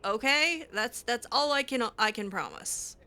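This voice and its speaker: A woman's voice: on the high side, expressive, unhurried, sharp tone. A woman doing casual improvised roleplay while playing a video game, hesitant, awkward and drily reluctant. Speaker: video game player roleplaying her character.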